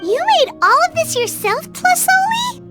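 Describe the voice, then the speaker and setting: A woman voicing a tiny, childlike fairy companion in a video game, squeaky, curious and excitable, full of questions and exclamations. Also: measured pace, music in the background, full character voice. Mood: curious, concerned.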